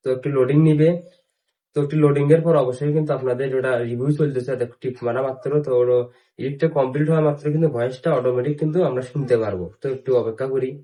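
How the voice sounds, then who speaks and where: A man's voice: medium pitch, steady tone, rapid-fire, dark tone, low-quality recording. A man hosting a casual step-by-step app tutorial, with repetitive, instructional phrasing. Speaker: tutorial host.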